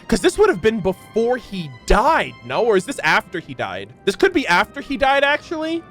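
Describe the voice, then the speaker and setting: Guy streamer with a high voice doing animated reaction stuff, reads out then comments, bit of music behind. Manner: animated, exclamatory, swears freely. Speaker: streamer reacting to a video game's lore. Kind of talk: excited reaction commentary, reading aloud and commenting.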